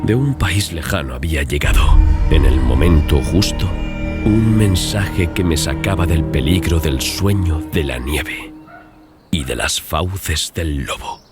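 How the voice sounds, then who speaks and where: A man's voice: rumbling low, animated, unhurried. A man reading a scripted first-person story as audiobook narration. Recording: music behind the voice. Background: clear background music.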